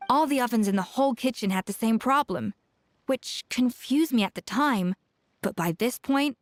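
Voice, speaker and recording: An actress voicing a confident, seasoned female chef who mentors a younger cook, speaking in a brisk, scolding, self-assured way in a game cutscene. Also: a little high, expressive, dry recording, natural voice. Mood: stern.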